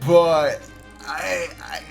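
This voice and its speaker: A man's voice: a little high, steady tone, drawn-out words, gravelly, faint music behind. A man hosting a reaction video with casual, informal commentary, swearing and repeating phrases for emphasis.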